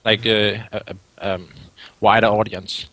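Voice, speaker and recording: Hesitant Danish-accented man, low voice. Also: little pitch movement, a little slow, sharp tone, dry recording, low-quality recording. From Denmark. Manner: hesitant, searching for words. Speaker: caller asking questions.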